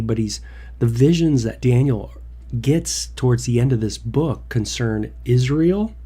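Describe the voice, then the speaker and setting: A man's voice: mid-range voice, warm tone, slightly rough. A man hosting a casual Bible devotional livestream from home, a little nasal from a cold, rambling with many fillers and restarts. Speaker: devotional host.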